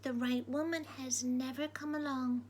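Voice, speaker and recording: A woman voicing a mild-mannered man burglar in a storybook reading, speaking quietly. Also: high voice, steady tone, normal speed, slight background noise, close to an everyday voice. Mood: hushed.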